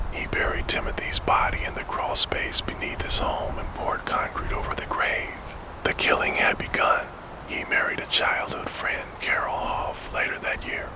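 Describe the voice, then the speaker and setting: Whispering man narrating softly. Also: low-quality recording. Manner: unvoiced whisper, slow and deliberate. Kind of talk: ASMR whispered true crime narration.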